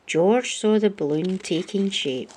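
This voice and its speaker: A woman reading a children's storybook aloud as an expressive narrator. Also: mid-range voice, a little slow, slight background noise.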